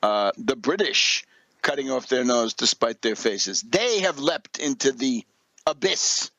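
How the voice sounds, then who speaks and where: A man's voice: on the high side, a bit bright, no echo, low-quality recording. A man giving an opinionated, off-the-cuff political monologue as a radio commentator, emphatic and sardonic, lecturing with rhetorical asides.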